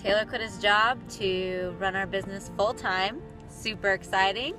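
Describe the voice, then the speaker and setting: A woman's voice: medium pitch, fairly even intonation, normal speed, over music. A woman vlogging in a casual, informal and wry way. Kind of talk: casual chat to camera.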